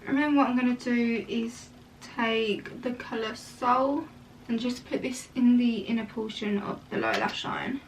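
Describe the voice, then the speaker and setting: A young woman chats her way through a makeup tutorial, wandering into casual asides as she works. Her voice sits high and sounds a touch croaky. She is recorded at home from a little way off the mic, with a faint hiss underneath. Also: animated, measured pace, far from the mic, poor audio. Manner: chatty, rambling asides. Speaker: makeup vlogger. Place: room at home.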